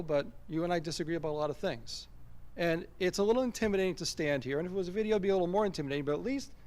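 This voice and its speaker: Guy with a kinda high voice at the town meeting mic, earnest, arguing his point as a citizen. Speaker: member of the public speaking at a meeting. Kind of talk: public comment speech at a local government meeting. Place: town committee meeting room. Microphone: standing at a public microphone.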